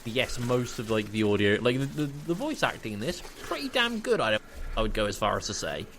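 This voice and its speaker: A man's voice: mid-range voice, very animated, a little fast, a bit bright, a little hiss or hum. A man co-hosting a podcast in casual chat, repeating and rephrasing himself for emphasis. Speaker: podcast co-host. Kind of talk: casual chat, review discussion.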